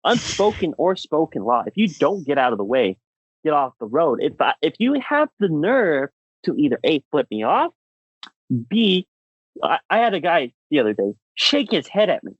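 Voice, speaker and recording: A man's voice: fairly high pitch, very animated, normal speed, breathy edge, no echo. Young American man, podcast co-host, emphatic and exasperated comic ranter, swooping intonation, dry dull recording. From United States. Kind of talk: comedic rant on a podcast.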